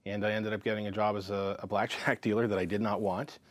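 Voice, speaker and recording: A man in his thirties tells his story as an interview guest, talking in a frank, lively way. His voice sits low, and the sound is dry and close. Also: quick pace, dry recording. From Canada. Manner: frank and lively, full of fillers and restarts. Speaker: counselor and psychotherapist, interview guest.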